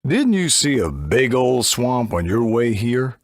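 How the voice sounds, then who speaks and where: Big friendly game monster, husky folksy voice, rueful, swings up and down a lot. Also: male voice, mid-range voice, big pitch swings, a little slow, no echo, clean studio sound. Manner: folksy, wry. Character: big tame talking forest monster, gruff and rueful. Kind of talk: video game voice acting.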